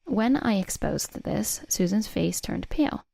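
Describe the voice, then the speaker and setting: Creaky-voiced woman narrating a story. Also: mid-range voice, animated, measured pace, mellow, dry recording. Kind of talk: scripted first-person story narration.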